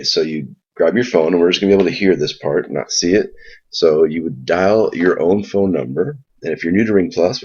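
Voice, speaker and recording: A man presents a casual software tutorial. His voice is very low and husky, and he explains each step informally. The recording sounds dull and lo-fi. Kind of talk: casual spoken screen walkthrough.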